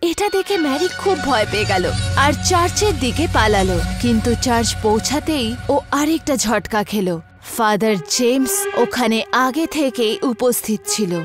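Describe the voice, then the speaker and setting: A woman's voice: on the high side, animated, measured pace, music in the background. A woman narrating a scripted horror story in a dramatic style.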